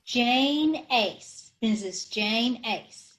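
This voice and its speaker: A woman playing a scatterbrained housewife, slow and singsong. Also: a little high, lively intonation, a bit bright, a little hiss or hum, exaggerated voice. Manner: naive, mixes up words, earnest. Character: scatterbrained middle-aged housewife. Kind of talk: old-time radio comedy acting. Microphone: video call.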